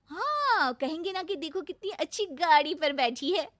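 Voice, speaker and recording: Woman as a plucky young indian village bride, high voice, cheeky, sure of herself, cartoon story. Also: animated, moderate pace, a bit bright, no echo, clean studio sound. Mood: defiant. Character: spirited, confident young village daughter-in-law.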